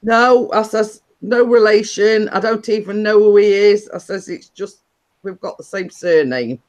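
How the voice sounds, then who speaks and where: A woman's voice: mid-range voice, a little slow, darkish tone. Chatty woman, rambling storyteller. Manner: rambling, chuckling, dialect "were" and "me day". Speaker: host. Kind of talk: casual chat.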